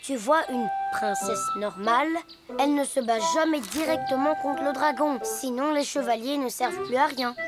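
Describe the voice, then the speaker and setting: A woman voicing a gentle little boy hippo. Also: sits high, normal speed, close to an everyday voice. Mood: patient. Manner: patient, explaining, gallant in play. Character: kind young male cartoon hippo, gentle and thoughtful. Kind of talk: animated series voice acting. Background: background music.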